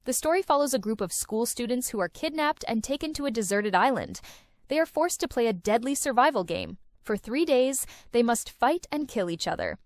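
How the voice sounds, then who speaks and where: A woman's voice: fairly high pitch, lively intonation, brisk, dry recording. A woman narrating a countdown of film and series recommendations in an enthusiastic, recommending tone. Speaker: video host. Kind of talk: scripted list-video narration.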